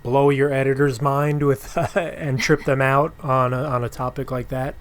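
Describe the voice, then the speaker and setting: A man chatting casually as the host of an interview podcast, with a medium-pitched voice. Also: little pitch movement, a little slow.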